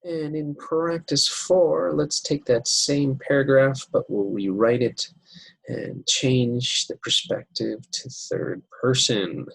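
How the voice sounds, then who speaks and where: A man teaching an English writing lesson over slides, unhurried and step by step, with many fillers. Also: mid-range voice, fairly even intonation, vocal fry, husky, dry recording, poor audio.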